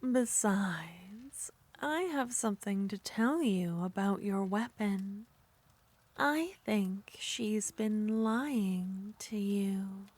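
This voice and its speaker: A woman voicing a seductive, possessive witch, speaking softly in half whispers with a teasing manner in an ASMR roleplay. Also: mid-range voice, extremely slow, dry recording.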